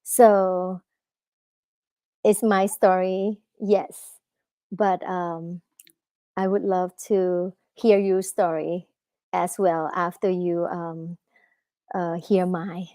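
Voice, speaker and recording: A woman's voice: lowish voice, little pitch movement, dark tone, dry recording, studio quality. A woman, an author giving a live talk at a book festival, hesitant with many fillers. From Thailand. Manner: hesitant, many fillers, halting non-native English.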